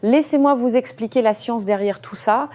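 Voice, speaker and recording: A woman narrating a first-person story as a surgeon, in a measured, confiding way with frequent hesitant fillers. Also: a little high, a little mellow.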